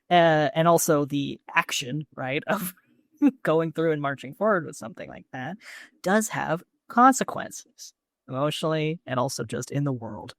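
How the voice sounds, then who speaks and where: A woman's voice: low voice, dry recording, clean studio sound. A woman co-hosting a podcast in a casual recap chat, wry, slangy and informal. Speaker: podcast co-host.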